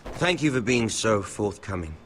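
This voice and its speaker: A cruel young lord villain, low slow mocking drawl that swings around a lot, game drama. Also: male voice, expressive, fairly bright tone, a little hiss or hum. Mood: mock-friendly menace. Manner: mock-friendly, drawling, menacing, theatrical. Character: sadistic, mocking young nobleman villain who toys with victims. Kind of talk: video game drama acting.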